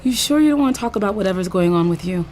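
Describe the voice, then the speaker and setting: A woman's voice: medium pitch, rapid-fire, a little hiss or hum, lightly acted. An actress playing a proud, persuasive woman sports fan with a grandiose way of talking in an audio drama. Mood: concerned. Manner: grandiose, animated. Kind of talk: naturalistic audio drama acting.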